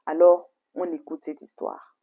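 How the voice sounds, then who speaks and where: A woman's voice: deep voice, expressive, a little slow, vocal fry, mellow, breathy edge. A woman whose first language is English, chatting to viewers as a channel host in an emphatic, repetitive way. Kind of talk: casual, chatty introduction to story videos.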